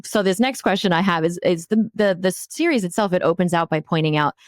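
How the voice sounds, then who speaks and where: A woman with a fairly low voice hosts a conversational podcast interview. She is informal and works out her questions aloud. The sound is close and dry. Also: brisk, dry recording. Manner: informal, thinking aloud while framing questions. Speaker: podcast host interviewing a producer.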